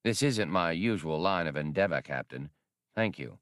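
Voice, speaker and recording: A small male alien in a space adventure audiobook, played by a male reader. He is weary and out of breath, speaking low with mild, formal courtesy in a dry, close sound. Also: animated, normal speed, fairly bright tone, no echo. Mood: weary gratitude.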